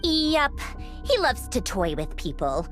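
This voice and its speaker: A woman playing a sharp, blunt, analytical young woman in a fantasy video game. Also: on the high side, slow pace, faint music behind, full character voice. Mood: wry. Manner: animated, blunt, sardonic.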